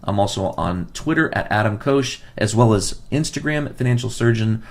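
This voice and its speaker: A man's voice: on the low side, expressive, moderate pace. A man hosting a financial advice podcast, losing his voice, who talks earnestly and repeats key points for emphasis. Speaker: financial advisor and podcast host.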